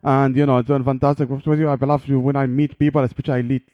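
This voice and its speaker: A man's voice: medium pitch, little pitch movement, fast, dry recording, lo-fi sound. A man hosting a podcast, speaking casual English with loose grammar and interviewing a guest. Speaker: podcast host.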